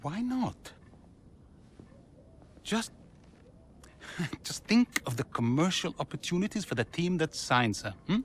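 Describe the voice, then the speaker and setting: An actor playing an eager, pleading racing team executive in a video game, with a cajoling manner. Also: male voice, fairly high pitch, sing-song, slow, pauses often, slight background noise. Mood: coaxing.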